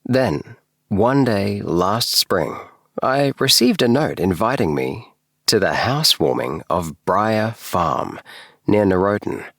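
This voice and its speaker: A male audiobook narrator reads a bedtime story at a slow pace with plenty of gravelly creak. His delivery is expressive and measured, and the small booth gives a very dry sound. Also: lowish voice, no room sound. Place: small DIY recording booth.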